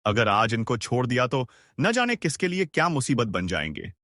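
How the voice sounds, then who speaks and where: A man's voice: mid-range voice, very animated, moderate pace, a bit bright, dry recording, clean studio sound. A man playing an indignant villager demanding justice in a cartoon story.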